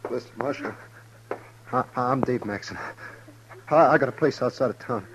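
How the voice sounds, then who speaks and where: A man's voice: mid-range voice, moderate pace, background noise, natural voice. An actor playing a desperate young family man, a rancher pleading with urgent stammers in a 1950s radio Western. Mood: panicked, pleading. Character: panicky male rancher, desperate husband and father. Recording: muffled old 1950s radio recording.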